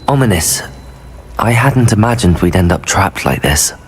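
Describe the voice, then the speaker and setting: An actor playing a calm, steady young male wizard student in a video game, speaking. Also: low voice, moderate pace, a little hiss or hum. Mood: gentle. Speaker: game character.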